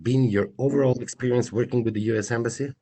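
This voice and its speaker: A man's voice: medium pitch, quick pace, mellow, a little hiss or hum. A man moderating a panel politely and formally, speaking through a face mask in an office. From North Macedonia. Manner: polite and formal, reads introductions. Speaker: procurement agent, event moderator.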